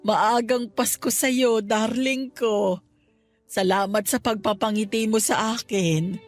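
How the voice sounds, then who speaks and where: A woman's voice: on the high side, fairly even intonation, slow, dry recording, soft background music. A woman playing a fond, mock-scolding middle-aged Filipino mother and wife in a Tagalog radio drama.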